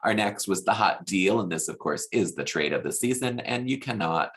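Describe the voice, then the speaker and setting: Guy with a deep gravelly voice doing a gossipy casual recap, lots of opinions. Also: animated, moderate pace, a bit bright. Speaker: podcast host reviewing a TV show.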